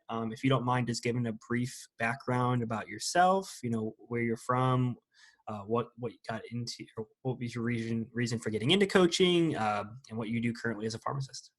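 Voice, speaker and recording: A young man hosts a podcast in an upbeat, chatty way. His voice sits in an ordinary middle range. He asks questions casually and keeps the talk moving. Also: medium pitch. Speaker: podcast host. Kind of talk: casual interview chat.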